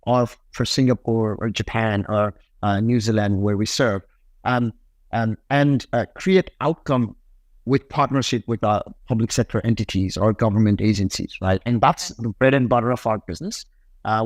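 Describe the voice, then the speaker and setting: A man from Nepal with a Nepali accent answering interview questions conversationally, in halting phrases full of fillers. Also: on the low side, no room sound. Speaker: podcast guest, tech company executive.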